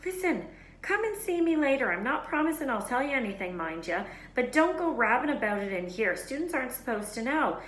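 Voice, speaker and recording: Storybook gamekeeper man, acted by a woman: furtive, gruffly friendly, folksy dropped consonants, echoey room. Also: high-pitched, sing-song, fairly quick, a bit bright, a little hiss or hum, pitched above the performer's own voice. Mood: shifty, hushing. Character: big, shifty, secretive male gamekeeper, gruffly friendly.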